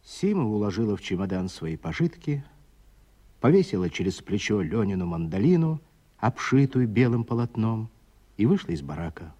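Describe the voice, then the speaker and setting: Male narrator, mellow dark tone, very varied intonation, expressive literary storytelling, radio drama. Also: on the low side, moderate pace.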